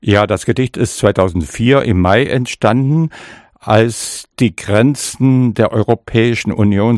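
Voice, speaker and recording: Slow, measured older male poet. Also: lowish voice, darkish tone, no room sound, clean studio sound. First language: Sorbian. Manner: slow, measured, reflective with hesitations. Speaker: poet, interview guest. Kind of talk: interview answers and author reading.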